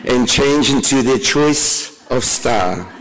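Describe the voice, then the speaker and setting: A man introducing a conference plenary session, with dry deadpan jokes and frequent throat clearing. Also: medium pitch, slow. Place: conference hall. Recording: long hall reverb.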